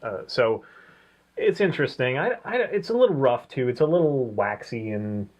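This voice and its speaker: A man's voice: mid-range voice, animated, normal speed, a little mellow. A man co-hosting a casual review chat, opinionated and rambling as he thinks aloud. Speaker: co-host of a comics review show. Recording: dull, lo-fi sound.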